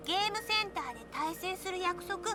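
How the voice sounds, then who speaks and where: Visual novel heroine: polite, earnest little-girl voice, extremely high, quick delivery, prominent music underneath. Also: animated. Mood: heartfelt. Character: Yui, polite earnest young girl, gentle but resolute. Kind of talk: Japanese visual novel voice acting. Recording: music behind the voice.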